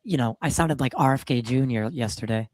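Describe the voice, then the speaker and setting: Gravelly, hoarse-ish male podcast host. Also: mid-range voice, a little fast. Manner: loose and profane, lots of fillers. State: a bit hoarse from a cold. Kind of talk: casual podcast chat.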